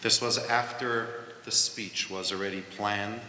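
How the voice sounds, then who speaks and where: A man's voice: lowish voice, steady tone, measured pace, sharp tone, slight background noise. A man hosting a live on-stage interview, emphatic as he thanks his guest, recorded from far off in an echoey hall. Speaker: host of the event. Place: event hall with audience.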